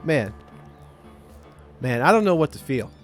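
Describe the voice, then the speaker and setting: A man's voice: a little high, big pitch swings, unhurried, faint music behind. A man hosting a game playthrough, chatting casually in a joking, playful way. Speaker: gaming video host.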